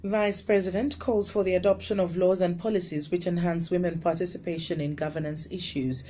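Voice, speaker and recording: A woman reading radio news in a studio, with a clear, measured broadcast delivery. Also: fairly low pitch, fairly even intonation, dark tone, slight background noise. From Zambia. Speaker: radio newsreader.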